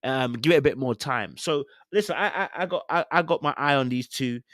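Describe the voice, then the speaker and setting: A young man with a London accent chatting casually as a podcast host, giving opinionated, slangy reality TV commentary with animated, theatrical retellings. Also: medium pitch, brisk, no room sound, studio quality.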